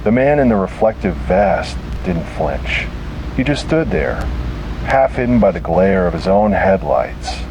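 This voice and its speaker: Deep-voiced man narrating horror over noise. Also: sing-song, a little slow. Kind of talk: scripted first-person horror narration. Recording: noisy found-tape style recording.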